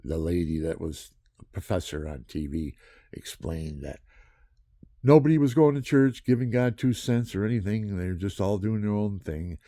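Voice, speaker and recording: A man telling a rambling personal story in a monologue, jumping between loud outbursts and low mutters. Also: expressive, moderate pace, no room sound, clean studio sound. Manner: calls himself low-voiced.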